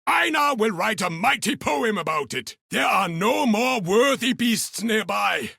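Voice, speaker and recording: A man's voice: high voice, expressive, measured pace, gravelly, pushed and bright, slightly rough, no room sound, clean studio sound, heavily acted. A man playing a boisterous, eccentric, cheerfully mad beast hunter in a video game, talking with theatrical exuberance. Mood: exuberant, gleeful. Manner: third-person self-talk, theatrical, exuberant delivery. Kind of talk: video game character voice lines.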